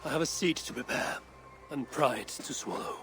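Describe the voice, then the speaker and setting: An actor playing a proud, blunt, honourable Viking warrior in a video game, terse and grave in delivery. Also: male voice, mid-range voice, moderate pace, a bit bright, a little hiss or hum, lightly acted. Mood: grave resolve. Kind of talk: dramatic video game acting. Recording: music behind the voice. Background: prominent music.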